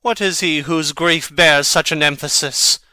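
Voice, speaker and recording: An actor playing a brooding, impassioned young prince in a dramatic Shakespeare reading, with deliberate theatrical delivery. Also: male voice, fairly high pitch, fairly even intonation, sharp tone, smooth, no room sound. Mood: impassioned, defiant.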